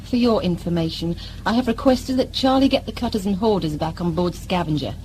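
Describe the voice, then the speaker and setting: A woman's voice: lowish voice, brisk, darkish tone, slight background noise, lightly acted. A woman playing a bossy, sneering usurper of a spaceship crew in an audio drama, taunting and self-satisfied. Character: smug, scheming female usurper of a spaceship crew. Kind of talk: sci-fi audio drama acting.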